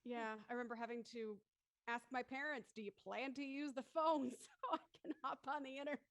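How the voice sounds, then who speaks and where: A woman's voice: high-pitched, steady tone, fairly quick, fairly bright tone, no echo, clean studio sound. A woman hosting a live show in an upbeat, casual style. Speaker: host of a live audio drama show.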